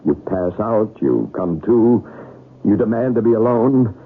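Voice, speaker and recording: An actor playing a worried, insistent husband and professor, speaking earnestly in an old-time radio drama style. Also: male voice, lowish voice, expressive, measured pace. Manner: earnest, urgent. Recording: tinny, noisy old radio broadcast.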